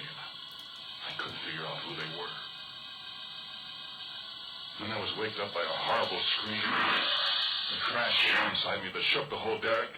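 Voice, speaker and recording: An actor playing a rough working-class oil-rig hand telling a grim tale in folksy slang, in old-time radio drama style. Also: male voice, low-pitched, close to an everyday voice. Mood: haunted, grim. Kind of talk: old-time radio horror drama acting, first-person narration. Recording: old radio recording, distant, music underneath.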